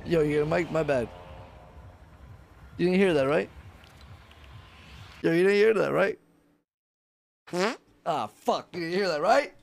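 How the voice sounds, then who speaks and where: A man's voice: fairly high pitch, a little slow, gravelly, pauses often, slight background noise. A man streaming video games, chatting casually with a slangy, playfully pleading manner and some swearing. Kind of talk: casual gaming chat. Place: indoor room at home.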